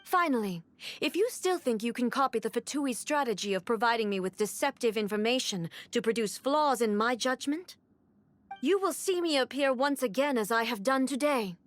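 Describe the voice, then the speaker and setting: A woman's voice: on the high side, lively intonation, moderate pace, close to an everyday voice. A woman voicing a composed, regal young female ruler in a video game, speaking formally and with command. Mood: stern. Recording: game audio with soft music.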